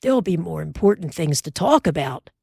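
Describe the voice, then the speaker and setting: A woman's voice: very animated, fairly quick, creaky, darkish tone, husky, no room sound, studio quality, heavily acted. Woman reading as a good-humored pioneer father. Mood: teasing. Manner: low, plain, earnest.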